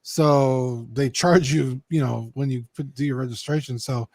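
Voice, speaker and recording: A man's voice: mid-range voice, fairly even intonation, a little slow, darkish tone, no room sound. A young man co-hosting a casual collectibles podcast, rambling with lots of ums and the odd swear. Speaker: co-host.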